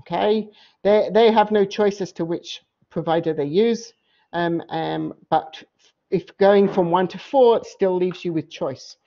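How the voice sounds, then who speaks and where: A woman professor giving an academic lecture, explaining slides in a halting way and often rephrasing mid-sentence. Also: fairly low pitch, warm tone. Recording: dull, muffled lo-fi audio.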